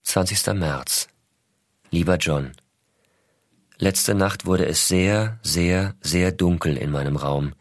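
Astronaut dad, low voice, dry close recording, intimate scripted letters to his small son, measured, simple explanations. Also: dry recording, full character voice. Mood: calm, hushed. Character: astronaut father writing tender letters to his young son. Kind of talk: scripted narration, letters read aloud.

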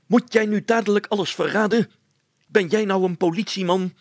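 A man's voice: high-pitched, normal speed, no echo, voice pushed up. A man voicing an irascible, bossy schoolmaster in a storybook, blustering and demanding. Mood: furious. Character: irascible, bossy schoolmaster interrogating suspects, male. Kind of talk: dramatized storybook reading.

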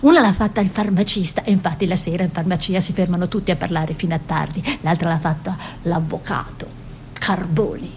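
Village peasant woman played by an actress: low voice, colloquial stage storytelling, deliberate pauses, slightly noisy recording. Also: fairly even intonation, lightly acted. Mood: wry storytelling. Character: earthy village peasant woman telling of her hill village. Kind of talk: theatrical radio monologue.

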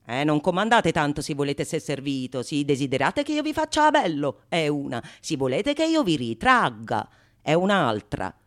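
A woman's voice: low-pitched, very animated, normal speed. A woman playing a witty, teasing male painter in a theatrical radio monologue, sly and fond of wordplay. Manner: sly, mock-impressed, theatrical.

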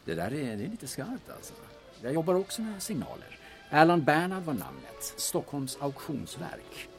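A man's voice: a little high, very animated, faint music behind. A man playing a shifty, scheming auction agent in an audio drama, speaking in a hesitant, colloquial way. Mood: hesitant, wary. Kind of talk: audio drama acting with muttered inner monologue.

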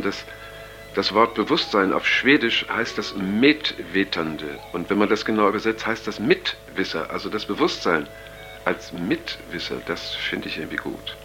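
An actor playing an earnest, uneasy young counterculture man, speaking a halting, casual taped diary in a radio drama, with many pauses. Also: fairly low pitch, lightly acted. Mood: uneasy, musing. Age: young adult. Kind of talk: radio drama acting, improvised-sounding monologue.

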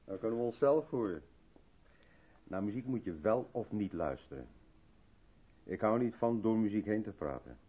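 A man's voice: on the low side, expressive, moderate pace, pauses often, slightly rough. An actor playing a polite, reserved South African man in a 1970s Dutch radio play, speaking with attentive restraint. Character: courteous, reserved South African man living in modest room. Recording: dull vintage radio recording, music behind.

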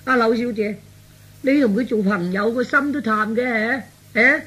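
A man voicing a fretful, self-pitying character in animated Cantonese storytelling, grumbling plaintively in colloquial speech. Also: extremely high pitch, a little slow, slightly rough, exaggerated voice.

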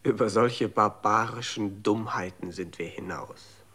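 A man playing a calm, resigned figure who answers in a detached, matter-of-fact way. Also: on the low side, unhurried, breathy edge, full character voice. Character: resigned, calm man accepting dull life. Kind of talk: radio drama acting.

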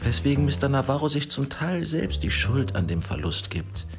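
Gravelly, coolly menacing crime go-between. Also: male voice, medium pitch, sing-song, over music. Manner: formal, measured, icily polite. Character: coolly courteous, menacing criminal go-between in a trenchcoat. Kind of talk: radio crime drama acting.

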